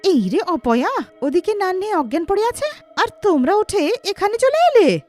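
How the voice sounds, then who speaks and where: A woman voicing a sharp-tongued, mocking village wife in a cartoon story. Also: very high-pitched, sing-song, moderate pace, faint music behind, pitched above the performer's own voice. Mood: angry. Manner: scolding, mocking.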